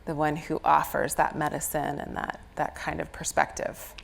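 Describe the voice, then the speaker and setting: A woman's voice: sits low, completely flat delivery, normal speed, creaky. A woman with a slight head cold, speaking conversationally on stage as an astrologer explaining a chart reading. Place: on stage at a live venue.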